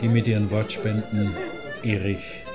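Slow low-voiced man reading credits. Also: little pitch movement. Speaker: announcer of closing credits. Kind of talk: scripted credits announcement. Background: loud accordion music.

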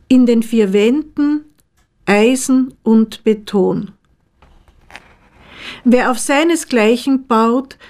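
A woman reading her own poems in a measured, deliberate way with pauses between phrases. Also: on the high side, darkish tone. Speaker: poet, author reading own work. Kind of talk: literary poetry reading.

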